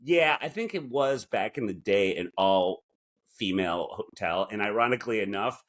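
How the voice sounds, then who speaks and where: Male podcast co-host, lowish voice with very animated intonation, wry comedic chat. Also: normal speed. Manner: wry, joking.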